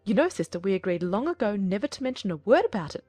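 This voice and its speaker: A woman voicing a fretful, excitable middle-aged mother in a dramatized audiobook, gushing and plaintive. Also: mid-range voice, quick pace, no room sound, soft background music. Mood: flustered, excited. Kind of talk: dramatized audiobook character acting.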